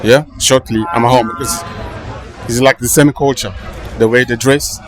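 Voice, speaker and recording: Man, halting, searching for words. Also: medium pitch, steady tone, over music. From Angola. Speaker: interviewee, funeral visitor. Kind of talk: casual street interview. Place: outdoors at a crowded palace funeral. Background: crowd murmur.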